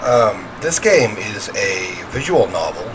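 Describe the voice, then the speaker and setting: Animated man on a noisy gaming recording. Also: on the low side, slow. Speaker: gaming host playing a horror visual novel. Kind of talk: casual let's play commentary with read-aloud narration. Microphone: standalone microphone at a desk.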